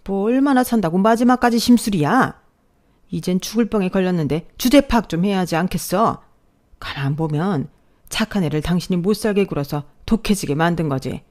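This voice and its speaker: A woman voicing a gruff, exasperated older father-in-law who scolds bluntly and loudly. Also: lowish voice, expressive, fairly quick, close to an everyday voice. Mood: angry scolding.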